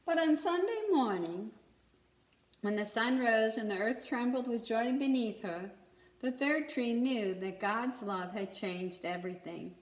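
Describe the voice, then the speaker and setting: A woman's voice: fairly high pitch, lively intonation. A woman reading a children's story aloud expressively, as a storyteller who checks in with young listeners. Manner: pauses to ask young listeners questions. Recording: echoey room.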